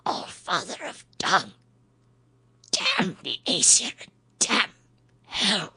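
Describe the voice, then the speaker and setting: A woman playing a bitter, dying old mother in a Norse radio drama, with a breathy, raspy, strained voice. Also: mid-range voice, wildly swooping pitch, sharp tone, heavily acted. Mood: bitter despair. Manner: slow, strained, gasping delivery.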